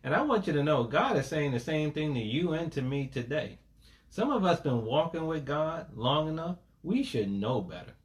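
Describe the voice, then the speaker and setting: A man's voice: on the high side, very animated, normal speed. A man preaching a sermon, calling for amens and mixing scripture with folksy everyday stories. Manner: preaching with call-and-response, folksy asides, repeated "amen". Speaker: pastor.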